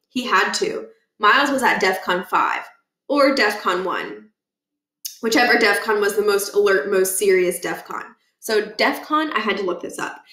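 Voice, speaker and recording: A woman reading a children's book aloud as expressive narration, with casual explanatory asides. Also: medium pitch. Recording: echoey room.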